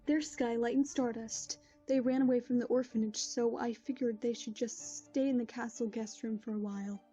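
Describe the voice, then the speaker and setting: Woman as a gentle regal pony princess, soft dark tone. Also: on the high side, fairly even intonation, normal speed, breathy edge, muffled recording, soft background music. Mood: kindly. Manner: kind, composed, formal.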